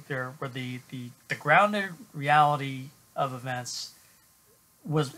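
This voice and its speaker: Bright, husky-voiced middle-aged man reviewing films. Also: medium pitch. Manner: halting, many uhs and restarts. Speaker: film reviewer. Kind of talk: unscripted film review to camera. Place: small room at home, indoors.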